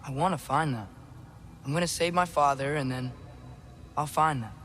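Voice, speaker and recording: A man's voice: fairly high pitch, normal speed, fairly bright tone, natural voice. A young man playing an earnest, guarded teenage boy hero in a video game, hesitant and stammering when flustered. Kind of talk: naturalistic video game acting.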